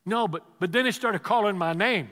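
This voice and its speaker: A man's voice: high-pitched, brisk, a bit bright, in a large hall. A man playing a bewildered young husband who hesitantly explains something strange. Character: bewildered young husband telling his wife a strange story.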